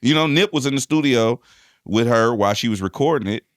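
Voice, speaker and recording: A man co-hosting a podcast with a deep voice, telling playful, animated stories and acting out scenes. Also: a little fast, dry recording, studio quality. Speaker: podcast co-host, DJ. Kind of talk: casual chat.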